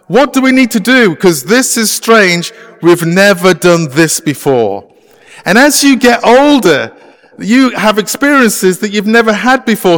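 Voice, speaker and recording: English pastor preaching in a church. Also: male voice, high voice, big-room sound. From England. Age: middle-aged. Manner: warm conversational preaching with frequent uh fillers. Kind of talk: sermon. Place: church sanctuary.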